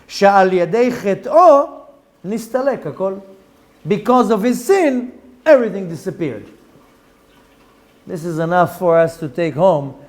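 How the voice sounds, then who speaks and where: A middle-aged man with an Israeli accent teaching a Torah lesson, reading Hebrew text quickly and explaining it in English with lively rhetorical questions. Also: sits high. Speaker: rabbi teaching Zohar.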